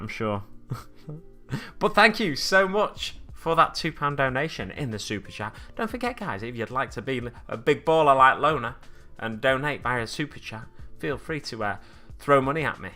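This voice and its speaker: A man's voice: medium pitch, pushed and bright. A man with a slightly scratchy voice, rambling through stories and chatting with viewers as he hosts a livestream from his hobby desk at home. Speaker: streamer host. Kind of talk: casual livestream chat while painting miniatures. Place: home hobby desk with a glass cabinet behind.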